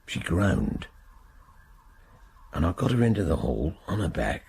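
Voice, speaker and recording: A haunted working-class English man in a radio drama. His voice is low and very rough, and it swings widely in pitch as he talks in a halting, plain-spoken way. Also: big pitch swings, a little mellow, exaggerated voice. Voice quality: husky, gravelly. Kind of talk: naturalistic radio drama acting.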